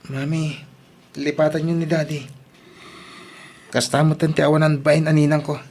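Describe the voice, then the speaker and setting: A man's voice: on the high side, level tone, moderate pace, darkish tone, a little hiss or hum, lightly acted. A man with an Ilocano accent playing a young son in an audio drama. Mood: gentle, concerned. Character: young son calling his mother to eat.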